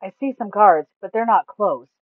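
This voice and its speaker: A woman's voice: medium pitch, expressive, normal speed, no echo, narrow, tinny audio, pitched above the performer's own voice, natural voice. A woman voicing a cautious, practical winged female adventurer in a fantasy story, hesitant and correcting herself. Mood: watchful, cautious.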